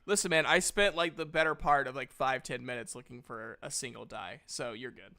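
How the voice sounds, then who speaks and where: A man's voice: mid-range voice, brisk, creaky, bright, forceful tone. A man speaking casually as game master of a tabletop game, with a playful, self-deprecating way of bantering.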